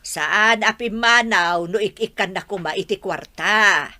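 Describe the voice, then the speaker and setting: A woman's voice: medium pitch, measured pace, sharp tone. A woman playing an older, protective village mother in an Ilocano radio comedy drama. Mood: scolding.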